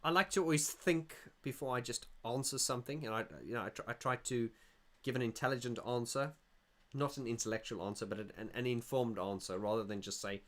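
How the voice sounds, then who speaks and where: Rambling middle-aged livestream host. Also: male voice, mid-range voice, brisk, hardly any pauses, fairly bright tone. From South Africa. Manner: rambling, thinks aloud with many restarts. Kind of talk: casual chat, reading viewer comments.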